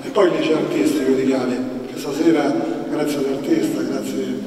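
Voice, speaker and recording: Rambling mellow-voiced mayor in a church. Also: male voice, medium pitch, steady tone, a little hiss or hum. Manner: rambling, fast run-on phrases. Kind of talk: public speech. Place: large church interior. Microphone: lectern mic, far camera. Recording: echoey church from far off.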